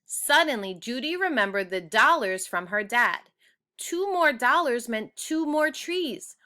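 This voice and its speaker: A woman reading a children's book aloud, with expressive, deliberate storytelling. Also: a little high. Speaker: storybook reader.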